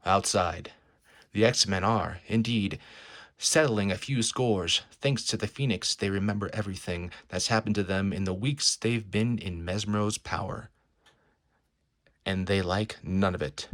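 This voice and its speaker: A man's voice: on the low side, a bit bright, no room sound, clean studio sound. A man reading a comic book aloud as dramatic scripted narration. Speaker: amateur comic book reader.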